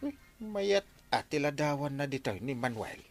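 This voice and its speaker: A man's voice: mid-range voice, expressive, normal speed, faint music behind, lightly acted. A man with an Ilocano accent acting an exasperated, scolding village captain in a radio comedy-drama. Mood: animated, lamenting.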